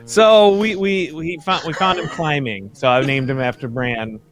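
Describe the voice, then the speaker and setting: A man's voice: on the high side, moderate pace, fairly bright tone, soft background music. A young man talking casually and a little ramblingly in a group chat. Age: young adult.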